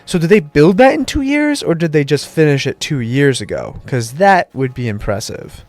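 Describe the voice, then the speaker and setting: A man's voice: a little high, big pitch swings, moderate pace, darkish tone, no echo. Sick, nasal young man gaming. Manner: chatty, rambling asides with restarts. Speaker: let's play host. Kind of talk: casual gameplay commentary.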